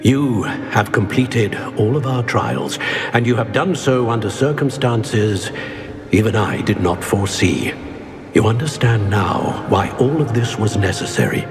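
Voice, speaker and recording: Man playing a slow, solemn old wizard. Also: fairly low pitch, music in the background, lightly acted. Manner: solemn, measured, formal. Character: solemn old male wizard in enchanted portrait. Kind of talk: cinematic video game acting. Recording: long hall reverb.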